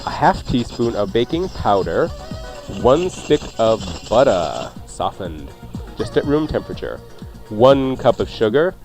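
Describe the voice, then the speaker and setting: A man's voice: mid-range voice, sing-song, a little slow, a little mellow. A man hosting a radio cooking show, talking through recipes in a casual, conversational way with jokey asides. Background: faint music.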